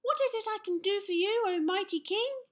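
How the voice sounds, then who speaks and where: A woman voicing a brave, eager six-year-old boy knight in a children's storybook, excitable and declaiming. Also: very high-pitched, moderate pace, pitched above the performer's own voice.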